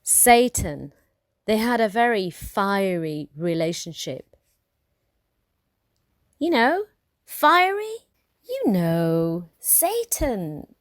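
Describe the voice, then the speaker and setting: A woman's voice: medium pitch, sing-song, drawn-out words, long pauses, dry recording, clean studio sound. A woman playing a sarcastic, exasperated workshop supervisor in a comic radio play. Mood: exasperated, sly. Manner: sarcastic, scolding.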